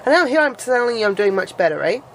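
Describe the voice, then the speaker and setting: A woman's voice: on the high side, big pitch swings, quick pace, a little hiss or hum, rough recording. Woman with a stuffy nose from a cold. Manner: rambling, trails off and restarts. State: nasal from a cold, sniffly. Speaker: vlogger. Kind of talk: casual chat to camera.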